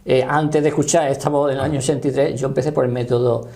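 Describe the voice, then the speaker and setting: A man in his sixties with a Spanish accent explains and recounts his experience in a halting, conversational way, often checking he is understood. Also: mid-range voice, fairly even intonation, gravelly, dark tone. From Spain. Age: 60s. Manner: halting, often seeking confirmation. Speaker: interview guest, paranormal voice researcher.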